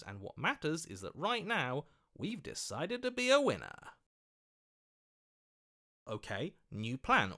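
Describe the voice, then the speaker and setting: A man's voice: mid-range voice, fairly bright tone, full character voice. A man playing a fickle, self-serving opportunist in a video game, with an ingratiating, wheedling manner. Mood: smug, scheming. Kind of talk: video game character acting.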